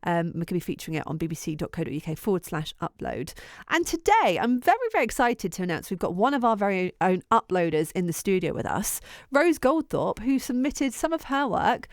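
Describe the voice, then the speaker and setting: A woman's voice: mid-range voice, sing-song, a little fast, few pauses, dry recording. A bubbly, enthusiastic English woman presenting a radio show from the studio. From England. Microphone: studio mic.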